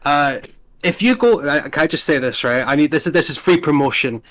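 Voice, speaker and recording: A young Scottish man chatting casually on a tier-list panel, rambling and animated, swearing freely and restarting his sentences. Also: a little high, brisk. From Scotland. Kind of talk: casual chat.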